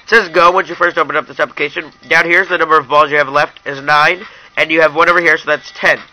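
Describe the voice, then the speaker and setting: A man's voice: on the high side, fairly even intonation, fast, pushed and bright, soft background music, low-quality recording. A young man narrating a casual, hands-on app review in an informal way, talking straight to his viewers. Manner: informal, addresses viewers as you guys.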